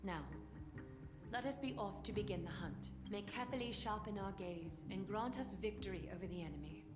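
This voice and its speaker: An actress playing a stately, composed leader in a fantasy game, speaking formally and with measured authority. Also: female voice, mid-range voice, close to an everyday voice. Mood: solemn, commanding. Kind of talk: dramatic fantasy game voice acting. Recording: echoey with music behind.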